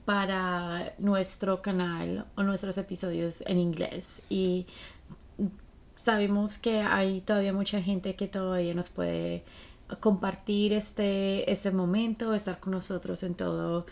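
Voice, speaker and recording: A woman's voice: medium pitch, steady tone, slow pace, gravelly, darkish tone. A woman in her late twenties from Colombia, with a Colombian accent, talking casually as a podcast co-host.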